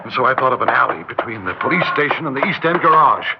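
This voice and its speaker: A man's voice: mid-range voice, lively intonation, fast, vocal fry, very noisy. A man acting an agitated small-town schoolteacher, urgent and desperate to be believed, in old-time radio drama style. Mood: tense narrating. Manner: urgent, stammering when flustered. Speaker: narrator and lead character. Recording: muffled old radio recording, music behind.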